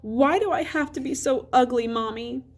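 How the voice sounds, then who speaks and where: Woman acting young schoolboy, sulky, wounded, defensive, short answers, raised pitch, storybook character. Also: moderate pace. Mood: upset. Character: sulky, hurt young schoolboy telling his parents off.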